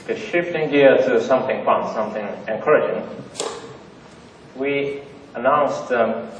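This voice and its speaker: A man's voice: medium pitch, unhurried, a little hiss or hum. A man with as his giving a conference talk to an audience, joking in simple phrasing with lots of fillers. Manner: joking, plain non-native phrasing with many fillers. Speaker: conference presenter. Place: large theater. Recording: dull, roomy audience recording from far off.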